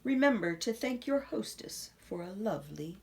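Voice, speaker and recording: A woman reading a children's picture book aloud expressively, with dramatic pauses and hushed asides. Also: medium pitch, expressive, slight background noise.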